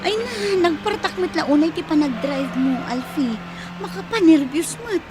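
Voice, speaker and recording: A woman's voice: high voice, animated, moderate pace, music in the background. A woman with a Filipino accent playing a sweet, anxious young nurse and girlfriend in a radio drama. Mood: nervous, scolding.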